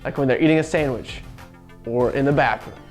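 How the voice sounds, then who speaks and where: A man's voice: mid-range voice, brisk, soft background music. A man co-hosting a show about video games, talking through his commentary. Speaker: show co-host. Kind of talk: scripted narration and casual opinionated talk about video game films.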